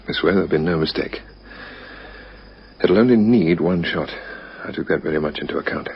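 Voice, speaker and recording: A man's voice: deep voice, normal speed, creaky, husky, exaggerated voice. An actor in a vintage radio drama playing a fussy, tidy man with a precise, testy delivery. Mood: calm, resolved. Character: fussy, tidy man calmly arranging his own death. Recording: muffled old radio recording, loud car noise.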